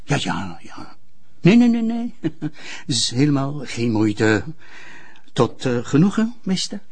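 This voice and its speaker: An actor playing a pompous, touchy public prosecutor in a TV crime drama, officious and formal. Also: male voice, medium pitch, big pitch swings, slow, darkish tone, slightly rough, heavily acted. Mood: flustered, obsequious. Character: pompous, touchy male public prosecutor, authoritarian yet obsequious.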